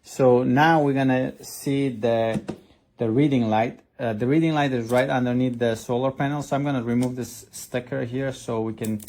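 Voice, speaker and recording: A man's voice: mid-range voice, darkish tone. A man reviewing a product in a casual, hands-on way, explaining step by step with some hesitation. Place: indoors at a desk.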